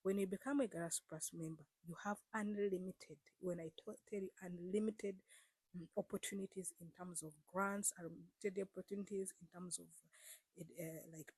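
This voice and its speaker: A woman's voice: on the low side, a little mellow, breathy edge, dry recording. A woman vlogger speaking straight to her viewers in a casual, earnest way, repeating herself and coaxing them with frequent fillers. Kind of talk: casual direct-to-camera explainer.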